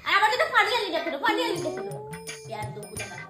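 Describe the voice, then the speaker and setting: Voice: very high voice, very animated, very fast, reverberant. A performer voicing a bossy, scornful young girl in a children's cartoon, scolding and threatening in a haughty way over music. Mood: angry scolding. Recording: music behind the voice.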